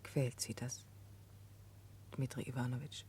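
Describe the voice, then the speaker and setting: An actress in a 1960s German radio drama playing an earnest, compassionate confidante, speaking gently and deliberately. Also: female voice, very deep voice, level tone, in a whisper. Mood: gentle, concerned. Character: earnest, compassionate woman, a calm moral confidante. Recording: noisy 1960s radio recording.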